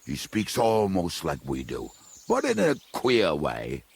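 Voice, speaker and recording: Jovial rogue merc from a medieval game, low husky voice, blunt and wry. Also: male voice, wildly swooping pitch, slow, full character voice. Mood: jovial, candid. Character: roguish, foul-mouthed, jovial medieval mercenary storyteller. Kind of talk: dramatic video game dialogue.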